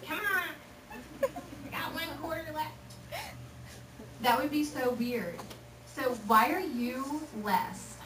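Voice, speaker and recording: Lively woman from Texas addressing teens, distant mic in a noisy, echoey hall. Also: sits high, slow, long pauses. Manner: lively, joking, acts out voices. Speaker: youth speaker. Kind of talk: casual talk to a youth group.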